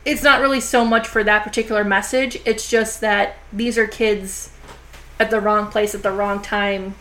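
A woman hosting a casual horror film review podcast, chatty and full of "like" and "you know" fillers, talking a bit slowly because her jaw is sore. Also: medium pitch, steady tone, a bit bright.

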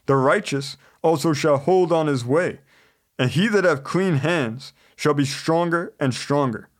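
A man preaching a sermon in a measured, scripted delivery, earnest and exhorting. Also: a little high.

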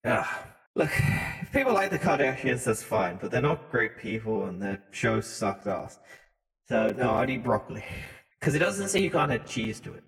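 A man's voice: mid-range voice, sing-song, normal speed, creaky, a bit bright. A man co-hosting a podcast with casual, crude joking banter in a reverberant room. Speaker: podcast co-host.

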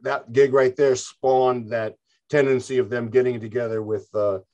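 An older man talks at length in a relaxed interview. His speech rambles and circles back, with frequent false starts. His voice sits at an ordinary middle pitch. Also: normal speed, muffled recording. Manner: rambling, chatty, frequent restarts. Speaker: interview guest, musician. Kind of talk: casual interview chat.

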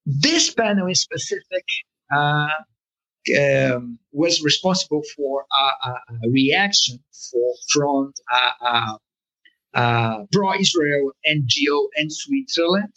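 Slow, hesitant man with a breathy voice. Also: fairly high pitch, animated, pushed and bright, poor audio. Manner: hesitant, many fillers and restarts. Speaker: cartoonist interviewee. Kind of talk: conversational interview answer.

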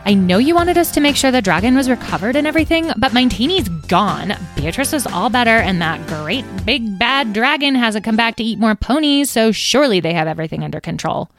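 A woman's voice: fairly low pitch, unhurried, hardly any pauses, music in the background. A woman voicing a moody, sarcastic fairy advisor in a storybook.

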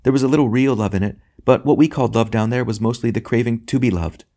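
Audiobook role, male reader: blessed lady spirit, tender, earnest, quietly amused, pitched well above a man's talk. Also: quick pace, natural voice. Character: serene, joyful, loving lady spirit, gently teasing. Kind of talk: audiobook character acting.

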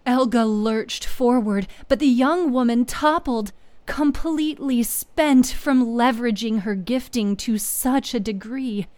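Slow, mellow woman narrating dramatic fantasy. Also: on the high side, no echo. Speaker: narrator and producer of a fiction podcast.

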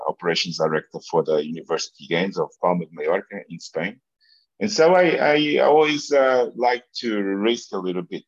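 A middle-aged man with a Portuguese accent, recalling things in an interview in halting English, repeating words as he searches for phrasing. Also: deep voice. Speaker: interview guest, football business executive. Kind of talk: conversational interview answers, personal recollection. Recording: echoey room, dull lo-fi sound.

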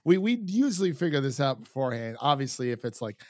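A man's voice: mid-range voice, big pitch swings, fast, a little mellow, dry recording, studio quality. A man hosting a film review podcast, chatting in an informal, enthusiastic, rambling way. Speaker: podcast host. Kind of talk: casual film review chat.

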